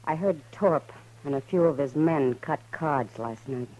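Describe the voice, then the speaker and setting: A woman's voice: low voice, a little slow, mellow, low-quality recording. An American woman playing a worldly, watchful saloon woman in a 1950s radio western, speaking in an understated, confiding way. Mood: concerned.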